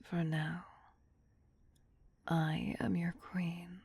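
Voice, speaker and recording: A tender vampire queen, acted by a woman, whispers in an intimate ASMR roleplay. The voice is very breathy and fairly low, staying soft and even in its melody. The manner is doting, possessive and soothing. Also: extremely slow, no room sound, exaggerated voice. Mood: loving, gently coaxing.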